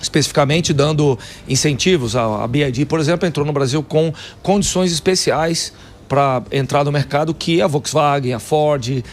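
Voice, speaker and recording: A man's voice: on the high side, moderate pace. Paulista man explaining with many fillers. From Brazil, São Paulo. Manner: explanatory, fact-listing, with frequent fillers. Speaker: guest, city council member in Texas. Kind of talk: radio talk show interview.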